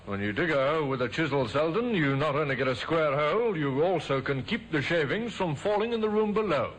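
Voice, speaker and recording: A shrewd police inspector in a vintage radio drama, brisk and commanding with a dry, ironic edge, played by an actor. A faint hiss runs under the old broadcast. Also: male voice, a little high, lively intonation, natural voice, low-quality recording. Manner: crisp, authoritative, dryly ironic. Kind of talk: 1950s radio drama acting.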